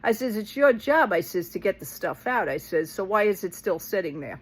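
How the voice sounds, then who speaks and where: A woman's voice: mid-range voice, animated, moderate pace, slightly rough. A woman talking casually to her followers in a rambling vlog rant, blunt, exasperated and colloquial, retelling conversations as she goes.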